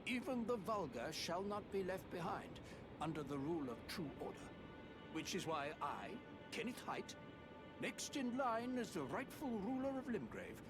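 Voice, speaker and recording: An actor playing a pompous, high-minded noble heir in a video game, speaking in flowery, old-fashioned courtly phrases. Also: male voice, sing-song, moderate pace, low-quality recording. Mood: self-important, resolute. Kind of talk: theatrical video game dialogue. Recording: loud music behind the voice. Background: loud game music.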